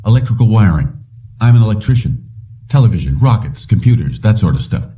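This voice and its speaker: A man's voice: lowish voice, sing-song, a little fast. A man voicing a determined electrician looking for work, asking plain, terse questions in an audiobook. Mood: probing. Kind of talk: audiobook character dialogue. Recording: dull lo-fi, distant from mic.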